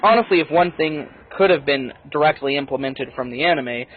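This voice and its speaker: A man's voice: fairly high pitch, a little fast, phone-quality sound. A man, a native English speaker, giving a blunt, casually profane and opinionated movie review in a conversational style.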